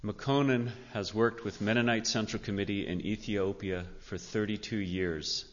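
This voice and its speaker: A male pastor with a fairly low voice addresses a church in an earnest, measured way. His words ring through a long hall reverb, and the recording is somewhat dull. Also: steady tone. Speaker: pastor introducing a guest speaker. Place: large indoor church sanctuary.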